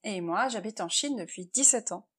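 A woman hosting a podcast, introducing her guest in relaxed chat at the guest's home. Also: mid-range voice, normal speed, breathy edge. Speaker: podcast host. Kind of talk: casual interview chat.

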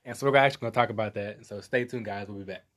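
A man's voice: fairly low pitch, very fast, loud music behind the voice. Upbeat man hosting a podcast. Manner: upbeat, laughs and reacts. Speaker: podcast host.

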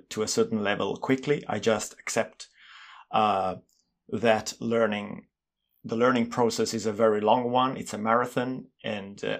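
Man, Italian accent, gravelly, fairly low, thoughtful, interview guest. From Italy. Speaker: guest, polyglot and language consultant. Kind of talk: casual interview conversation.

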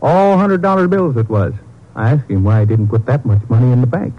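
A man's voice: medium pitch, expressive, brisk, close to an everyday voice. A man playing an eager, folksy small-town hotel clerk in a 1950s radio drama, with a Texan accent and a rambling, deferential drawl. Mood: chatty, confiding. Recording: muffled vintage radio recording.

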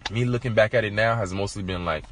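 A man's voice: lowish voice, fast, fairly bright tone, a little hiss or hum. A man co-hosting a podcast, talking in a blunt, candid, slangy way. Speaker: podcast co-host. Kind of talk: casual chat.

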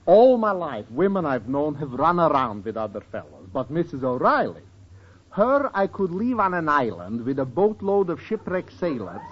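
An actor playing a wry, teasing older professor in an old radio sitcom, with theatrical joke-telling and odd turns of phrase. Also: male voice, a little high, sing-song, normal speed, slightly rough. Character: wry, teasing older professor, grumbling fondly about women. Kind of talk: 1940s-50s radio sitcom acting. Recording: dull old-time radio recording.

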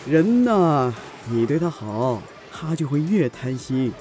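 Cynical chatty buddy, animated, higher male voice, teasing, theatrical, audio drama. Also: takes it slowly, soft background music. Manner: flippant, needling, theatrical. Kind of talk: Chinese audio drama acting.

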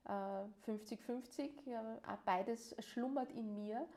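A woman's voice: fairly high pitch, slow pace, warm tone. A young woman from Vienna, co-hosting a podcast in casual chat, agreeing often and laughing easily. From Vienna, Austria. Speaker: podcast co-host.

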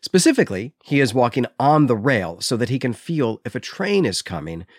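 Low-voiced man hosting a podcast. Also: very animated, a little fast, slightly rough, no room sound, studio quality. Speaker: podcast host. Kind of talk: literary podcast discussion and recap.

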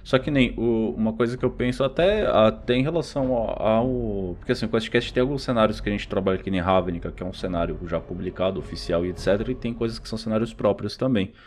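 A man's voice: on the low side, fast, hardly any pauses, a little mellow, a little hiss or hum. A man with a Brazilian accent hosting a podcast in a casual, slangy, conversational way. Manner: informal, slangy. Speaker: podcast host. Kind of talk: casual chat. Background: music.

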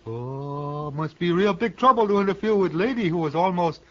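A man's voice: sits high, expressive, moderate pace. Male, eager Chinese bellboy, singsong broken English, swooping delivery, muffled old radio western. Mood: eager, cheeky. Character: excitable Chinese hotel bellboy, eager and cheeky. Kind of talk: 1950s radio western acting.

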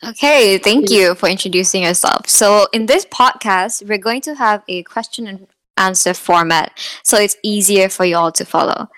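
A woman's voice: medium pitch, moderate pace, a bit bright, no room sound, poor audio. Young woman opening a student podcast, welcoming, reads a prepared intro, dry recording. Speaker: podcast co-host.

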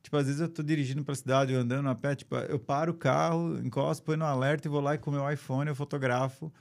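A man's voice: medium pitch, steady tone, fast, creaky. A man from São Paulo, a photographer chatting as a guest, rambling with many restarts. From São Paulo, Brazil. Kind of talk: casual interview chat.

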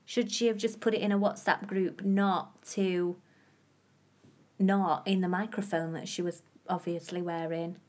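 A woman's voice: fairly low pitch, little pitch movement, measured pace, darkish tone. A woman co-hosting a podcast in casual chat, teasing her partner playfully. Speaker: podcast co-host.

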